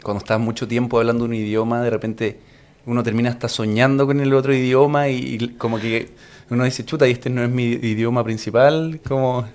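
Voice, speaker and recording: A man with a Chilean accent interviewing a guest, chatty and friendly, with many fillers and quick affirmations. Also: medium pitch, steady tone, a little mellow. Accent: Chilean Spanish. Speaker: interviewer host. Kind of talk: casual interview conversation.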